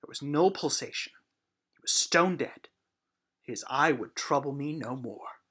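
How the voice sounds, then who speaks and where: Deranged murderer narrator for a horror story, played by a man: middle register, theatrical, gloating and frantic, hushed to loud. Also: medium pitch, lively intonation, unhurried, breathy edge, heavily acted. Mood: cold, cunning. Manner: theatrical, swinging between hushed whispers and loud outbursts. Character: deranged, gloating, increasingly frantic murderer confessing his crime. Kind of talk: dramatic horror story reading.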